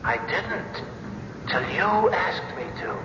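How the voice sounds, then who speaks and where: An actor playing the hero's ghostly younger self, calm and stern, speaking slowly and solemnly in a 1970s radio drama. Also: male voice, high voice, heavily acted. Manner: slow, measured, solemn. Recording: muffled old radio recording with hiss, echoing hall.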